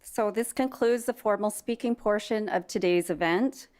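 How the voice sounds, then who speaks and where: A woman moderating a press conference, brisk and procedural as she opens the floor to questions. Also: mid-range voice, darkish tone. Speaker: event moderator.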